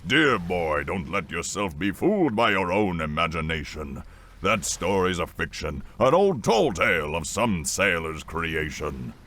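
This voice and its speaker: A man's voice: sits low, big pitch swings, unhurried, bright, forceful tone, full character voice. A man voicing a seasoned ship's helmsman, dismissive and stern. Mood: mocking. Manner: scoffing, stern.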